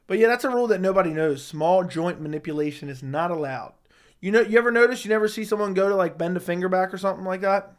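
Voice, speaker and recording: A slightly hoarse young man hosting a casual live chat, answering viewers with blunt opinions. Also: high voice, quick pace. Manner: opinionated, talks straight to chat. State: slightly hoarse, losing his voice. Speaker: livestream host answering chat.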